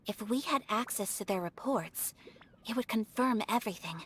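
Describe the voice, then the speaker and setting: A woman playing a refined, thoughtful young noblewoman in an anime game, speaking in a measured, composed and formal way. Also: on the high side, animated, fairly bright tone, husky. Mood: pensive. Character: poised, refined young noblewoman, thoughtful strategist, gently serious. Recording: background music under the voice. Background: music.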